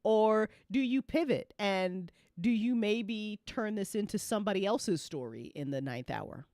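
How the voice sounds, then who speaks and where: A woman's voice: medium pitch, lively intonation, unhurried, darkish tone, no echo, studio quality. A woman hosting a casual after-show discussion, talking in a teasing, informal and sometimes profane way. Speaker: host and story creator.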